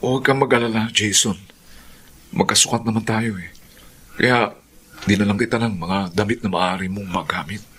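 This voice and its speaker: An actor playing a kind, generous young family friend in a Filipino radio drama, gentle and reassuring. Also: male voice, lowish voice, moderate pace, long pauses, slight background noise, lightly acted.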